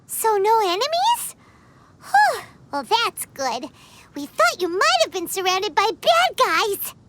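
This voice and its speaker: A woman voicing a tiny, excitable, blunt childlike companion in an anime-style video game, squeaky and exclamatory. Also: slow, heavy background noise, heavily acted. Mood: relieved, concerned. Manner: refers to herself in the third person, exclamatory. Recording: music behind the voice.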